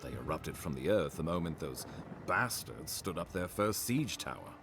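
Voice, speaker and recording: An actor playing an earnest, weary leader who demands answers in a video game. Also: male voice, very deep voice, lively intonation, normal speed, background noise. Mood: troubled, probing. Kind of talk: video game cutscene dialogue.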